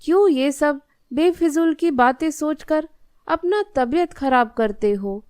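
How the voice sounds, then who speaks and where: A gentle cartoon grandmother, voiced by a woman, practical and placating. Her voice is high and smooth, and she talks slowly. The recording is dry and close. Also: no room sound. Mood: soothing. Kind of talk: Hindi moral-story cartoon dialogue.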